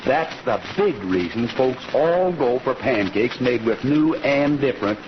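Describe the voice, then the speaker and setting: An actor playing a genial cowboy rancher who hosts an old radio show with folksy, easygoing talk. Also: male voice, medium pitch, expressive, measured pace, a little hiss or hum, lightly acted. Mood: folksy pitch. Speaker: radio show host and pitchman. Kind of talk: 1940s radio show hosting. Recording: muffled old radio recording, loud music.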